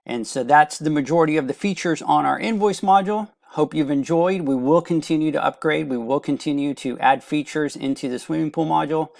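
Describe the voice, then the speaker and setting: Gravelly-voiced man presenting a software demo. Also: fairly high pitch, fairly quick, hardly any pauses, no room sound, studio quality. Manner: plain step-by-step instructional delivery. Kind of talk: screen-share product walkthrough, semi-scripted explanation.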